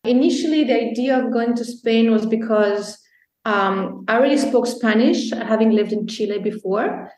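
A woman's voice: on the high side, moderate pace, a little mellow. A woman from Belgium, a guest on a podcast, telling her story in a chatty way with many ums. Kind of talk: casual interview talk. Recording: roomy echo.

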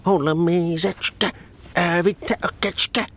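A gravelly, slow-witted bear. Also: male voice, high voice, steady tone, a bit bright. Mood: singing softly. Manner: ponderous, hesitant with many ums. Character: slow, cautious, honey-loving bear. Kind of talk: radio play acting.

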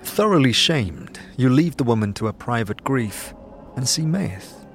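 Gravelly male fantasy narrator. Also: medium pitch, sing-song, a little slow, a bit bright, a little hiss or hum. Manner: descriptive, storyteller-like. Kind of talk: scripted second-person fantasy narration. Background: music.

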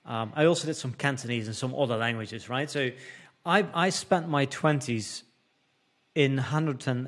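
Brief: Dutch-accented man, 30s, native Dutch speaker, podcast guest, eager storyteller, mid-pitch. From Netherlands. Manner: eager storyteller with many restarts. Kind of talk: conversational storytelling.

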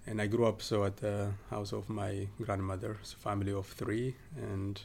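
Man, 30s, from southern Ethiopia, podcast guest, halting speech, deep voice. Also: flat delivery, vocal fry, darkish tone, a little hiss or hum. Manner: hesitant, many fillers and restarts. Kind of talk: casual interview talk.

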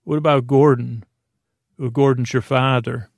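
A man with a very creaky, gravelly voice rambles through a bedtime podcast monologue. His pitch stays quite flat as he hesitates, doubles back and meanders. The sound is close and dry. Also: no room sound. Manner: hesitant, meandering, halting, trailing off. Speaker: podcast host.

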